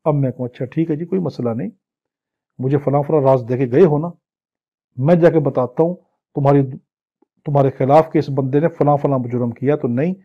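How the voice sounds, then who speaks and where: A man's voice: mid-range voice, a little fast. A Pakistani man teaching law in an exam-prep lecture, reading legal text and explaining it phrase by phrase in a didactic manner. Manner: didactic, translating phrase by phrase, with acted-out examples. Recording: dull, lo-fi lecture audio.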